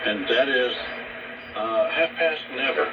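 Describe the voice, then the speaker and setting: A man giving political talk radio commentary in deliberate, halting, emphatic phrases, heard over shortwave reception. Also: mid-range voice. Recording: distant, dull, very noisy shortwave radio reception.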